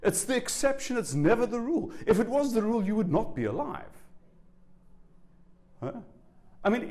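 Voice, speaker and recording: Animated man in his sixties. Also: medium pitch. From South Africa. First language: Afrikaans. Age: 60s. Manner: animated, conversational, asks the audience rhetorical questions. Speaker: spiritual teacher giving a lecture. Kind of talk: public talk to a live audience. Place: university lecture room.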